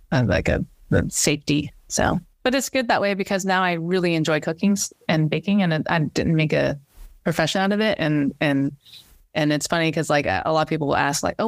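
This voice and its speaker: A woman's voice: deep voice, animated, brisk, dry recording, studio quality. A middle-aged woman, a cinematographer, talking casually as a podcast guest, restarting her sentences often. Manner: chatty, many restarts and fillers. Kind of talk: casual interview chat.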